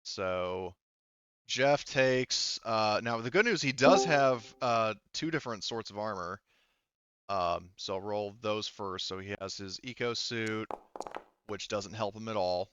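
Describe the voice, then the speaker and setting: A man running an online tabletop roleplaying game as game master, talking haltingly as he thinks aloud through the rules. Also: on the low side, highs cut off, noisy background.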